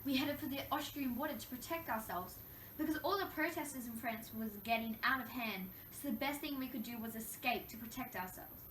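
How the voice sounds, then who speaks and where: A woman's voice: a little high, fairly quick, fairly bright tone, lightly acted. A woman playing a wronged young French queen in a scripted interview role play, recounting her troubles in a formal, recited way. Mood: troubled, earnest. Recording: echoey room, distant mic, faint noise.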